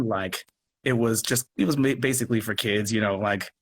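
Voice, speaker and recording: A man's voice: fairly low pitch, expressive, normal speed, slightly rough. A man chatting casually in a live online interview as a guest radio play producer, given to chuckling. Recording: live stream with background noise.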